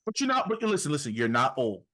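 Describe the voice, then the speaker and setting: A man's voice: mid-range voice, big pitch swings, fast talker, fairly bright tone. Encouraging man in his forties hosting. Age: 40s. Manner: encouraging, repeats himself, says listen a lot. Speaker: podcast host. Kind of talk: casual interview chat.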